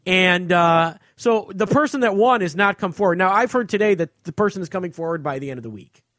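A man's voice: high voice, lively intonation, brisk, a bit bright, no echo. An American man hosting a podcast, chatting casually and telling stories in an informal, rambling, sweary way. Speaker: podcast host and touring comedian.

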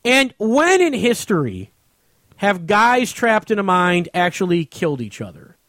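An American man hosting a casual comedy chat, excitable and insistent about his points. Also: high-pitched, measured pace, no echo, clean studio sound. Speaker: radio show host.

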